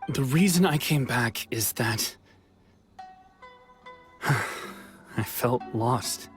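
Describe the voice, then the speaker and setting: A man's voice: mid-range voice, drawn-out words. An actor playing a weary young man back from exile in a video game, talking in an earnest, wistful way with sighs. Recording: game soundtrack music faint behind.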